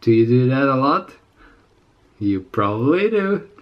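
A man in his twenties with a slightly raspy, under-the-weather voice, chatting casually to camera as a fitness vlogger in a halting, rambling way. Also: mid-range voice, sing-song, dull audio. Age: 20s. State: slightly sick. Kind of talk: casual unscripted chat to camera. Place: small indoor room with plain walls.

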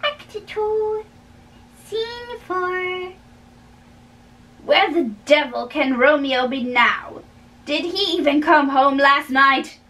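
A young woman doing a halting dramatic play reading in a small bedroom, stumbling over and repeating lines. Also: sits high, expressive, sharp tone. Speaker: amateur play reader. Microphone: camera a few feet away. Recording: distant mic in a bedroom.

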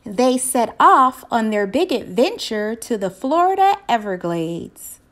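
A woman reads a children's story as narrator. Her voice is fairly high and lively in melody, and she takes it slowly and deliberately, with clear expression.

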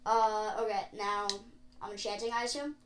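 A woman's voice: mid-range voice, normal speed, reverberant, noisy background. A woman talking casually with a friend as co-host while playing a video game. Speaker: gaming video co-host and player. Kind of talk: casual gameplay chat.

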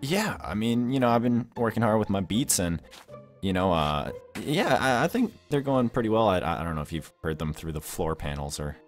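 A man playing an excitable, awkward young man in an improvised video game roleplay, stammering and giddy. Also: lowish voice, moderate pace, soft background music, natural voice. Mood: awkward enthusiasm.

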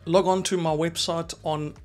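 South african guy in his 40s doing a coding tutorial, bit higher voice, close mic. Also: little pitch movement, normal speed, soft background music. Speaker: instructor, presenter. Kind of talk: explanatory tech tutorial to camera. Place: small indoor home studio. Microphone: close desk microphone.

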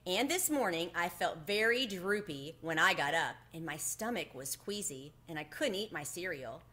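Bright, expressive woman reading aloud. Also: fairly low pitch, normal speed. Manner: animated, storytelling delivery. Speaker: book reader. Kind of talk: expressive children's read-aloud. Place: home room with bookshelves, indoors. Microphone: webcam at desk distance.

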